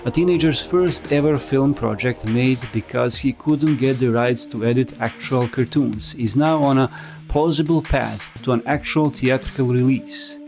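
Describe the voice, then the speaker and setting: A man's voice: medium pitch, normal speed, a little mellow. A man narrating a scripted explainer as a video essayist, with wry, conversational asides. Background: music.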